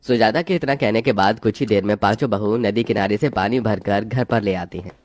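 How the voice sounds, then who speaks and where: A man's voice: fairly low pitch, brisk, a little mellow. A man reading scripted narration for a cartoon moral story. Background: background music.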